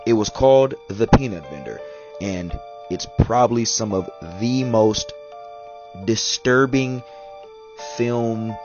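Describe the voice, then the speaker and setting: A man with a deep voice hosts in a casual, halting way. The recording is dull and lo-fi, with clear music behind him. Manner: halting, repeats "you know". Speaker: video series host. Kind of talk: casual spoken commentary. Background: background music.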